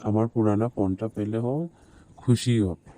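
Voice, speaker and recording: A man's voice: fairly low pitch, steady tone, measured pace, mellow, natural voice. A man voicing a young city visitor in a horror cartoon, speaking in a casual, conversational way. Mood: puzzled. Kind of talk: horror cartoon story dubbing.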